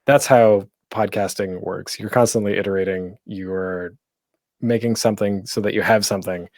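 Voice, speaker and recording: A man's voice: on the low side, fairly even intonation, normal speed, a little mellow. A man presenting a casual online panel as a podcaster, speaking off the cuff in a rambling, self-deprecating, jokey way.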